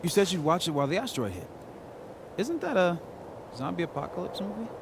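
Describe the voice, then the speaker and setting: A man playing an earnest, cautious young friend in a video game, hesitant and gently wry. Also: high voice, big pitch swings, quick pace, background noise. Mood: puzzled, amused. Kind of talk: naturalistic video game acting.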